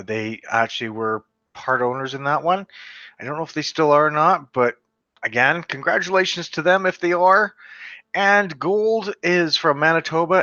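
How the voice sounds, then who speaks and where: A man from western Canada talks through a list of beer awards in a loose, conversational way, with a bright, forward voice. He stumbles over unfamiliar brand names as he goes. The recording is dry and slightly dull. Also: fairly high pitch, no echo. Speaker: beer reviewer. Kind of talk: casual solo video commentary reading award results.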